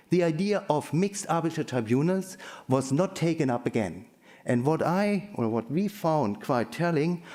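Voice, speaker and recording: A man presenting at an academic conference in a measured, halting way, often correcting himself. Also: mid-range voice, darkish tone.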